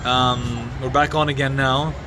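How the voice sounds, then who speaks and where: A man livestreaming from his phone outdoors at night, answering viewers' questions in a halting, sombre way with many restarts. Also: mid-range voice, little pitch movement. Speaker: livestreamer reporting a fire. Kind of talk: live phone stream, answering viewer comments. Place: outdoors in a city compound at night. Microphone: phone mic. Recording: noisy outdoor phone stream.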